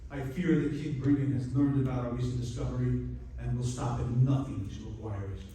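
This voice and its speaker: A man's voice: mid-range voice, fairly even intonation, a little fast, warm tone, a little hiss or hum, lightly acted. A man playing an ancient but youthful-sounding king, stern and imperious, in a live radio play. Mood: grave, resolute. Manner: imperious, declamatory. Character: centuries-old but youthful king, stern, commanding, worried. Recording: echoey convention hall, distant mic.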